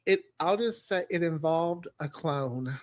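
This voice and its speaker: A man with a slightly raised pitch speaks as a writer on a panel. His talk is informal and conversational, recorded very dry with no room around it. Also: a little slow, no echo. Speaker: scriptwriter, panel guest. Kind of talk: casual panel chat.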